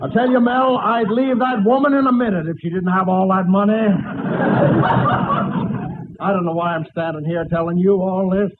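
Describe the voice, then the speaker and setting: An actor playing a blustering, pompous henpecked husband who complains and exclaims in 1940s radio comedy style. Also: male voice, high-pitched, normal speed, narrow, tinny audio, natural voice. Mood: exasperated grumbling. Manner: complaining, exclamatory comic delivery. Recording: noisy, thin old-time radio recording.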